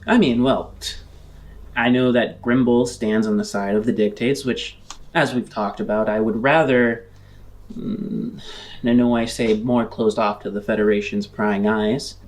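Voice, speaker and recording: A man playing a reserved, guarded shopkeeper, talking in a halting, measured way that trails off. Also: on the low side, steady tone, natural voice.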